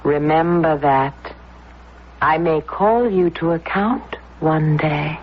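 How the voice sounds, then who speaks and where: Slow, teasing, mysterious young temptress. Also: female voice, low voice. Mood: playful, knowing. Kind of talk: radio drama acting. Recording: muffled, noisy radio drama recording.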